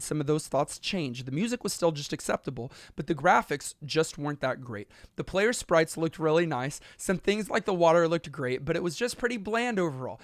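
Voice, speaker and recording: A man with a notably high voice reads listener emails aloud on a podcast, keeping a steady pace and breaking in with jokes. The sound is close and completely dry, with no room around it. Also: no echo. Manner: reads listener letters steadily, with joking asides. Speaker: podcast co-host.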